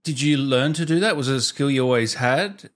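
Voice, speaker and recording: Casting: man, 30s, mid-pitched voice, relaxed chat-style interviewer on a close mic. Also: a little fast. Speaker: host, interviewer. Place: small indoor streaming room. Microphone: close desk microphone.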